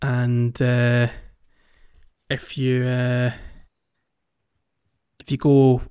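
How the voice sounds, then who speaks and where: A man co-hosting a podcast, chatting casually about a video game in a rambling, halting, jokey way. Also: mid-range voice, completely flat delivery, mellow, clear, resonant tone. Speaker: podcast co-host. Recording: dull lo-fi audio, roomy echo.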